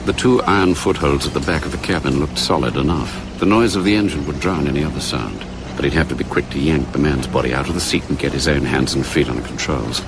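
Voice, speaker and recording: An actor narrating a spy thriller for radio drama in a measured, suspenseful manner with clipped phrases. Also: male voice, a bass voice, background noise. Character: male storyteller of a spy thriller. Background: dramatic music.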